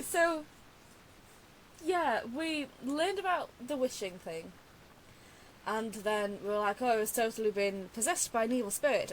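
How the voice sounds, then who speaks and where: Chatty, high-voiced woman streaming a game. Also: rough recording. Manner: chatty, rambling, giggly. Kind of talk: casual reaction commentary over game play. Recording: faint background hiss.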